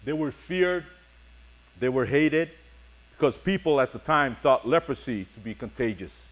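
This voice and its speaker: A middle-aged man preaching a sermon as pastor, reading scripture and speaking deliberately in short, paused phrases. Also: mid-range voice, low-quality recording. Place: church sanctuary, speaking from a pulpit on stage.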